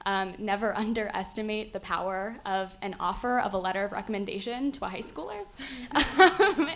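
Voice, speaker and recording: A woman's voice: mid-range voice, normal speed. A young woman on a conference panel, answering thoughtfully with frequent ums and easy laughter. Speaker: youth activist panelist. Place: conference hall stage. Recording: faint background noise.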